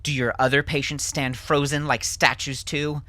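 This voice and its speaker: A man voicing a bitter, anguished wife and mother with a sharp, sardonic manner in an audiobook drama. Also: medium pitch, level tone, moderate pace, pitched above the performer's own voice, lightly acted. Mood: anguished, breaking. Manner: sharp, sardonic, sometimes near whispering.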